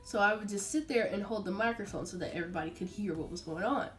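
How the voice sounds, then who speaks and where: A young woman vlogger tells a story in a casual, chatty way. Her voice sits at an average pitch and she gets dramatic as she relays who said what, with music playing behind her. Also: fast. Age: young adult. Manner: chatty, dramatic, acts out quotes. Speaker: vlogger telling a personal story. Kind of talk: casual animated storytelling to camera.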